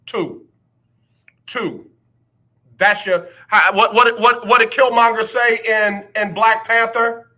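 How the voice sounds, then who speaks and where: A man's voice: high-pitched, steady tone, slow pace, bright, forceful tone. A man hosting a casual sports talk radio show, teasing listeners with rhetorical questions. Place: radio studio.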